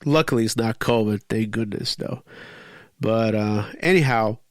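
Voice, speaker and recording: A man's voice: on the low side, moderate pace, no room sound, clean studio sound. A man in his forties with a stuffy, cold-clogged voice, hosting an unscripted podcast in a rambling, profane, heated way. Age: 40s. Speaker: podcast host. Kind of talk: unscripted casual podcast rant.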